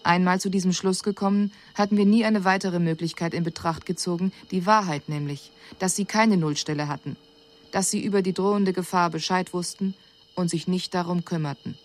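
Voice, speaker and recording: Sober, lowish-voiced alien envoy played by a woman. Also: steady tone, close to an everyday voice. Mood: sober, formal. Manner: measured, sober, exact. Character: female alien envoy soberly reporting on her mission. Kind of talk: scripted radio drama, formal report. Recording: radio drama recording with audible background noise.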